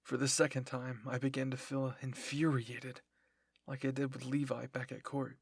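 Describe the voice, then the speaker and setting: Husky man reading a dramatic story. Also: mid-range voice, level tone, normal speed, no room sound, clean studio sound. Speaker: narrator of fan fiction roleplay.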